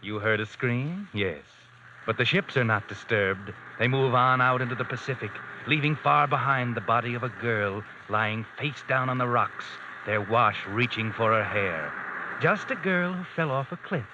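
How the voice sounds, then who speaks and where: An actor playing a mysterious, all-knowing night-walker who narrates dark tales in an ominous, theatrical 1940s radio manner. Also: male voice, medium pitch, moderate pace, background noise, natural voice. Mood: sinister, knowing. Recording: dull vintage radio recording, music behind.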